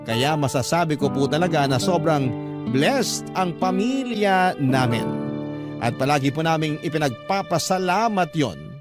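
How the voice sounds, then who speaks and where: A man's voice: fairly high pitch, lively intonation, a little slow. A man with a Filipino accent narrating a personal letter as a first-person story for radio drama. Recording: music playing behind the voice. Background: plucked guitar music.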